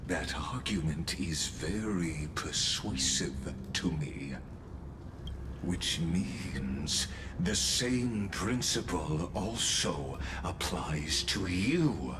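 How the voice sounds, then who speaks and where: An actor playing a cold, scholarly scheming villain in a game, speaking formally and deliberately with polite menace and condescension. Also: male voice, extremely low pitch, lively intonation, noisy background, heavily acted. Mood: smug, calm. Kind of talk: theatrical game dialogue.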